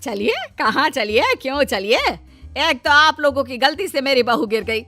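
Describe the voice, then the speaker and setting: A woman voicing a suspicious, combative middle-aged mother-in-law in a cartoon story, firing off sharp questions. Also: high-pitched, moderate pace, faint music behind.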